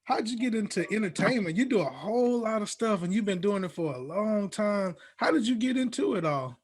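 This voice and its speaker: High-pitched man, upbeat podcast host. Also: expressive, fairly quick, husky. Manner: informal, upbeat, slangy. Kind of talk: casual podcast interview with slangy hype.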